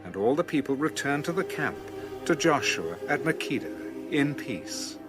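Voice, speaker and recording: Man, dramatic scripted narration, animated range, mid pitch, clear music bed. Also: unhurried. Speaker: audio Bible narrator.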